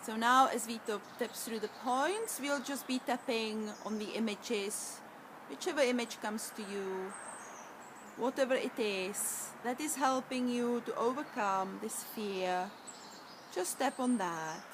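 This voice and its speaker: A woman speaks in a soft, soothing and encouraging way as she guides a tapping session. Her voice sits a little high. A faint hiss runs under the recording. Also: measured pace. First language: Czech. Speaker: EFT tapping practitioner.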